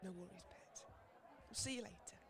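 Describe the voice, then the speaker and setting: A woman playing a chatty, warm-hearted bereaved older woman with a Northern English accent in an amateur radio play. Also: medium pitch, normal speed, crowd noise, noisy background, lightly acted. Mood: cheery.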